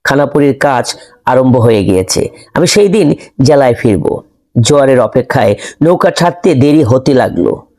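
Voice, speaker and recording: A man's voice: mid-range voice, big pitch swings, moderate pace, mellow. A man reading a classic ghost story aloud as scripted audiobook narration.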